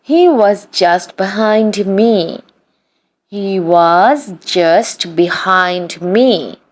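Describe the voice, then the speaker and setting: A woman reading short practice sentences aloud for learners, in an even, deliberate read-aloud style that says each sentence twice. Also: medium pitch. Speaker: English practice reader.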